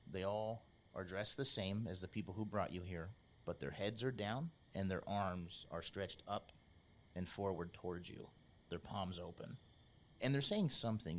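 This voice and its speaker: Deep-voiced male game master. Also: slight background noise. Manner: measured, descriptive, informal. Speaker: game master, podcast host. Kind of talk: improvised storytelling narration for a tabletop game.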